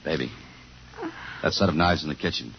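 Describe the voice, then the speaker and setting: An actor playing a tough, dogged police detective in a 1950s radio thriller, speaking in clipped, insistent lines. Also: male voice, rumbling low, brisk, vocal fry, a little hiss or hum. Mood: grave, probing. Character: tough, dogged male police detective defending his wife. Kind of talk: 1950s radio thriller acting, hard-boiled narration. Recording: muffled vintage radio recording with music.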